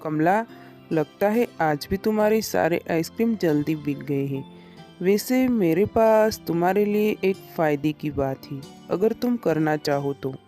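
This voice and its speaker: A woman's voice: lowish voice, lively intonation, a little slow, warm tone, soft background music, pitched below the performer's own voice. A woman voicing a scheming, wheedling village ice cream man in a cartoon moral story, with an insinuating, coaxing manner. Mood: sly, coaxing.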